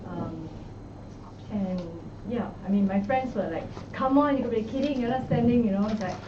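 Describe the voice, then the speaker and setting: A woman's voice: fairly high pitch, moderate pace. Brief: young Singaporean woman, easygoing, echoey room heard from afar. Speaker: election candidate. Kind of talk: press conference answers. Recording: echoey room, far mic, dull noisy sound.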